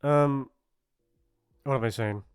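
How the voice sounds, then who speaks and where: Halting, self-deprecating man with music behind. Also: medium pitch, clean tone, dry recording, studio quality. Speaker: voice actor hosting his channel. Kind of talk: rambling casual outro chat.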